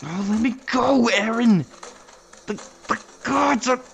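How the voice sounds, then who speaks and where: A woman's voice: fairly high pitch, takes it slowly, vocal fry. A woman playing a loyal, frantic teenage little brother in a fantasy audio drama, urgent and stammering. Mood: frantic confusion. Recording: very noisy, clicky recording.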